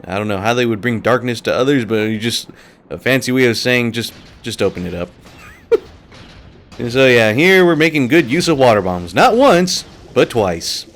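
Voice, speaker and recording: A man's voice: medium pitch, big pitch swings, moderate pace, a bit bright. A man hosting a let's play, talking casually and rambling while sick and a little nasal. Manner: casual, rambling, laughs often. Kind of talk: gameplay commentary.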